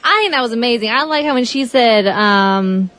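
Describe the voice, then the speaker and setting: A woman's voice: a little high, animated, normal speed, a bit bright. A woman co-hosting a radio show, bubbly and jokey, chatting casually. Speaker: radio co-host and singer.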